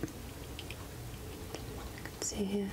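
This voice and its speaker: Casting: woman to play an ancient, wryly curious woodland witch for ASMR roleplay; whispered, unhurried, gentle. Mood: gentle, helpful. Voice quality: breathy whisper. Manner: slow, gentle, musing, archly addressing a mortal. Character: three-hundred-year-old witch of the woods, friendly, wryly curious. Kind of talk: soft-spoken ASMR roleplay.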